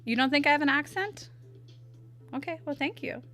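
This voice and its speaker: A woman with a stuffy nose, chatting casually with her viewers in quick asides while she draws on stream. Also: high-pitched, pushed and bright, faint music behind. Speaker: art streamer. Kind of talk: casual chat while drawing.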